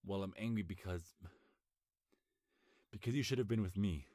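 A young man playing a nervous, lovestruck best friend in an intimate ASMR roleplay, speaking earnestly with a halting stammer. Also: sits low, darkish tone, no echo, studio quality, close to an everyday voice. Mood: pleading, lovestruck.

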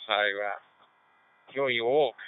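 A New man speaks over a ham radio link with very smooth, clean tone. The sound is narrow and phone-like. He chats politely, with frequent hesitant pauses. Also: medium pitch. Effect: digital amateur radio codec. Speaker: amateur radio operator. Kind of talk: casual two-way radio chat. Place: mobile in a vehicle. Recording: phone-like digital radio transmission.

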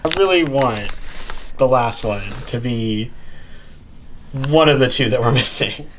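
A man with a mid-pitched voice gives casual, informal commentary as the host of an unboxing video. He talks in an easygoing way at a tabletop indoors, with clear background noise and crinkling packaging behind him. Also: a little slow, fairly bright tone, poor audio. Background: crinkling plastic bags, clicks.

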